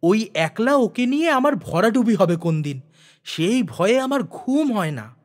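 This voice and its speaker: A fretful, complaining aunt from an audio storybook, acted out by a man. The voice sits high and sounds plaintive and dramatic, full of worry over the household. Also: sing-song, measured pace. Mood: anxious complaining. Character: anxious complaining aunt, fretting about her orphaned niece.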